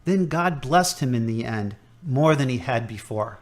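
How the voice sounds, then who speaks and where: Gentle hospital chaplain, a guy acting him, slow and measured, audio drama. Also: mid-range voice, animated, lightly acted. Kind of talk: amateur radio drama acting.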